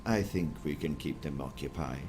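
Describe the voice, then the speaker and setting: An actor playing a calm, calculating alien naval lieutenant who speaks in a measured, formal, commanding way in an audio comic dub. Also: male voice, very deep voice, a little hiss or hum.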